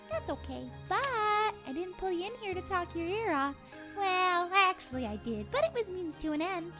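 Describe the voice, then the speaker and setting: Tiny, high-pitched gentle book spirit. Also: female voice. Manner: cutesy, rambling, tender, playful slang. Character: gentle, chatty childlike female book spirit, affectionate and wistful. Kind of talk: comic dub voice acting.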